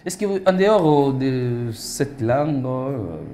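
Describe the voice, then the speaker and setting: A man's voice: mid-range voice, lively intonation, slow pace, dark tone, slight background noise. A man in his thirties hosting a TV studio interview, formal and ceremonious, with frequent hesitations. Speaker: TV talk show host. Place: TV studio set.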